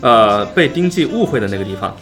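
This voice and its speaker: A man speaks quickly and with animated pitch over clear background music. He is an agreeable, enthusiastic guest who repeats himself as he chats. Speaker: guest, voice actor in an audio drama. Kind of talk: casual live interview chat.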